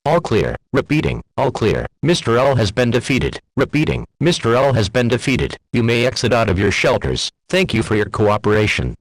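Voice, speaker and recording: A man's voice: medium pitch, sing-song, normal speed, hardly any pauses, rough recording. A man's synthetic text-to-speech voice reading scripted emergency alerts in a formal manner.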